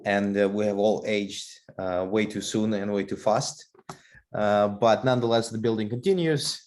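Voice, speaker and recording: A man's voice: on the low side, steady tone, normal speed, a little mellow, a little hiss or hum. A man hosting a podcast interview, talking conversationally with frequent fillers. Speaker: podcast host. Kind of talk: casual interview talk.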